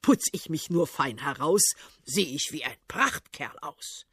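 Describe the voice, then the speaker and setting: A woman's voice: sits low, expressive, measured pace, slightly rough, no echo, clean studio sound, voice pushed down, full character voice. A woman voicing a vain, blustering old male tsar with a pompous, threatening manner. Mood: boastful.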